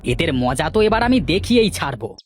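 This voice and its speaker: A man's voice: on the high side, big pitch swings, moderate pace, soft background music. A man voicing a jealous, scheming village neighbour in a children's cartoon, sly and grumbling. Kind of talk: children's moral cartoon acting.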